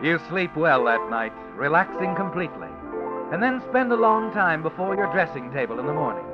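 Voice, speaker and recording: A man playing a sly, mysterious narrator of an old radio thriller, his slightly high voice rising and falling as he insinuates. The sound is dull and old, with music playing behind him. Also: very animated, a little fast. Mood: knowing, ominous. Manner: insinuating second-person narration. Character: mysterious male narrator speaking to the listener. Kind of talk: 1940s radio drama narration.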